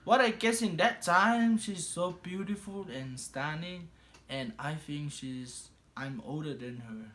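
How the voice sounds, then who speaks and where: Slow-talking man with a Samoan accent. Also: a little high, lively intonation, a bit bright. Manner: broken English, laughs a lot while telling. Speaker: husband telling how they met. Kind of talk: casual storytelling chat.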